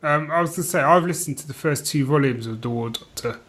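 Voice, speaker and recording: A man's voice: a little high, fast, fairly bright tone. A man chatting casually as a podcast co-host in an informal, easygoing, agreeable way.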